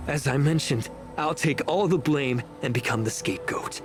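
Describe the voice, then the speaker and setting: An actor playing a scheming, arrogant elder official who speaks in a pompous, formal, measured way, like a villain in a story game. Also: male voice, mid-range voice, over music, lightly acted. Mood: smug.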